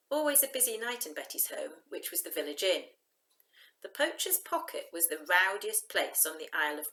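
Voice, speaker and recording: A woman reading a children's novel aloud in an expressive, emphatic storytelling style. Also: fairly low pitch, low-quality recording.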